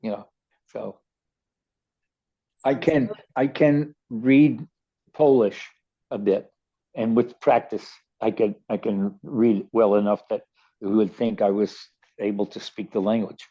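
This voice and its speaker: An older man with a Baltimore accent tells stories casually on the radio, restarting and repeating words as he goes. His voice is dark and mellow, and the recording sounds a little dull and lo-fi. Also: on the low side, fairly even intonation, slow, long pauses, breathy edge. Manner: rambling, many restarts and stammered repeats. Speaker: radio show host. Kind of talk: casual storytelling chat.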